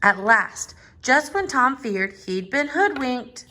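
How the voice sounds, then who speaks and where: A woman reading a children's storybook aloud in expressive narration. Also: high voice, slow pace. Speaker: storybook reader.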